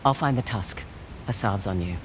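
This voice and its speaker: A woman's voice: a bass voice, moderate pace. A woman playing a confident adult treasure hunter and thief in a video game, with dry, breezy, teasing banter. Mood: wry, focused. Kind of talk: naturalistic video game acting. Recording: game ambience and vehicle noise behind.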